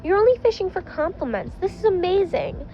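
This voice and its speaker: A woman voicing a proud, warm, easily amazed girl in a story reading. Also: very high voice, sing-song, a little fast, dull audio, faint music behind, voice pushed up. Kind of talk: storybook-style character voice in fan fiction reading.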